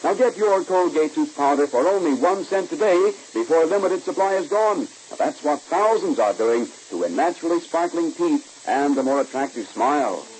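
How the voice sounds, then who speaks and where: A man's voice: a little high, lively intonation, moderate pace, few pauses, a little mellow, poor audio. A man with an American accent announcing in polished, emphatic 1940s radio style, like a scripted salesman. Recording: hissy old radio recording.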